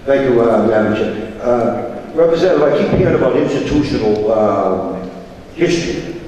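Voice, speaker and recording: A man, a state representative, pressing questions in floor debate in a loud, insistent manner. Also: medium pitch, lively intonation, normal speed. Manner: loud, insistent, self-described voice of authority. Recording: echoey chamber, distant mic.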